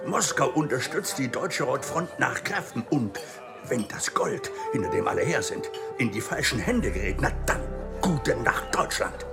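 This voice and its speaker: An embittered male general with a creaky voice and very lively intonation. He is pompous and patronising, confiding like a conspirator in a period radio play. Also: mid-range voice, moderate pace, loud music behind the voice. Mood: patronising, conspiratorial. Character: boastful, embittered nationalist army general, confiding. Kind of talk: radio drama acting.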